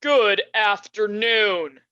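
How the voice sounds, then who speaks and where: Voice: mid-range voice, drawn-out words, bright, forceful tone, clear, resonant tone, no echo, dull audio. A young performer playing a grumpy, scornful old miser in a school radio play, curt and dismissive. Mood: scornful, irritable. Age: teenager or young adult.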